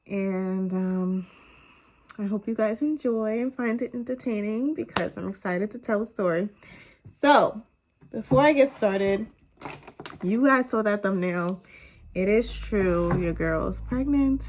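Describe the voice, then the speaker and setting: A young woman talks straight to her camera in a chatty story-time vlog, her voice a little nasal and congested. She fills pauses with "um" and "you guys", and her pitch sits in the middle. Faint room ambience hums under her voice. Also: darkish tone, a little hiss or hum. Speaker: vlogger. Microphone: camera on tripod.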